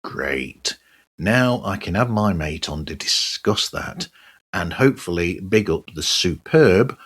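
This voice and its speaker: Slow, low-voiced man, slightly husky. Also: fairly bright tone. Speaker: podcast host. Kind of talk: solo podcast monologue, conversational.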